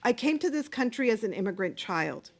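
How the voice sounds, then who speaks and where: A woman's voice: a little high, very animated, brisk. A woman giving earnest, impassioned testimony as a witness at a committee hearing, with a few hesitations, speaking into a desk microphone. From Canada. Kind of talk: formal testimony.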